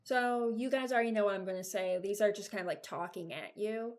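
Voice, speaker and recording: A young adult woman giving casual, unscripted reaction commentary, hesitant and thinking out loud. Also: mid-range voice, a little fast. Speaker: video creator ranking game voice lines.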